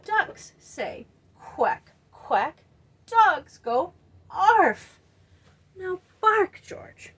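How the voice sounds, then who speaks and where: A woman voicing an exasperated mother dog from a storybook, emphatic and drawn-out, swinging from loud to hushed. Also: very high-pitched, big pitch swings, full character voice. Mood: increasingly exasperated.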